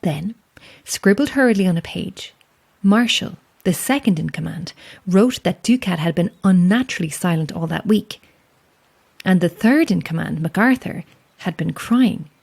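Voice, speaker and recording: A solitary Irish lighthouse keeper in an audio horror drama. She is calm and watchful, with a dry wit and a measured, understated delivery. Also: on the low side, vocal fry, slightly rough, heavily acted. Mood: hushed, ominous. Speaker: lighthouse keeper and narrator of a tale.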